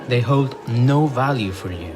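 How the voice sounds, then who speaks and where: An actor playing a modest young explorer and teacher in an audio drama, inquisitive and polite. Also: male voice, medium pitch, expressive, unhurried, over music, close to an everyday voice. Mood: curious. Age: young adult.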